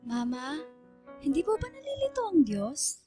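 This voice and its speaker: A sensitive high school girl, speaks in a high voice over music. She is earnest, devoted and respectful, in emotive radio drama style. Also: wildly swooping pitch, moderate pace, warm tone. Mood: innocent, affectionate. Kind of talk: Filipino radio drama acting.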